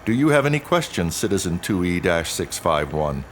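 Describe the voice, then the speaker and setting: A man's voice: low-pitched, sing-song, moderate pace, a little hiss or hum. An actor playing a formal, officious male lieutenant in a dystopian radio drama, clipped and procedural. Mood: official, detached.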